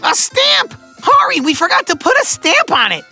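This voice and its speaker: A man voicing an excitable, opinionated little woodpecker in a children's cartoon, with a squeaky, scratchy voice and theatrical, exclamatory delivery. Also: gravelly, soft background music, full character voice. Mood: excited. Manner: exclamatory, theatrical, drawn-out words. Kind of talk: children's animated series voice acting.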